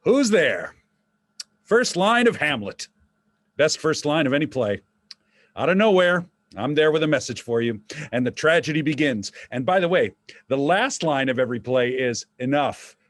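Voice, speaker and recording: A man's voice: fairly high pitch, expressive, moderate pace, dry recording. Wry ghostly father, dry close sound. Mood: jaunty, sardonic. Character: narrator's dead father, wry golf-obsessed old ghost. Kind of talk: staged reading of a play.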